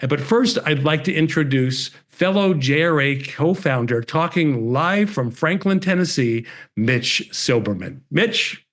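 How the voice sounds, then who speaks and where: Man, host, scripted introduction, somewhat high voice, formal presentation. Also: animated, measured pace, fairly bright tone. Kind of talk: scripted introduction read aloud.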